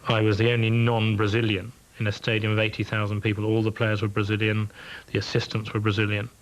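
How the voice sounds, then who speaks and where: A man with a posh English accent, a football referee speaking in a measured, reflective way in a documentary interview. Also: fairly low pitch, completely flat delivery, rough recording. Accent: posh southern English. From England.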